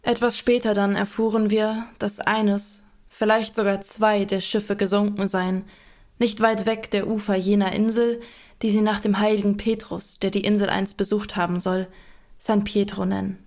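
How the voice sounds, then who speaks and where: An actress playing a medieval woman, quietly and sorrowfully narrating a long journey in a radio drama. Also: medium pitch, little pitch movement, moderate pace, natural voice.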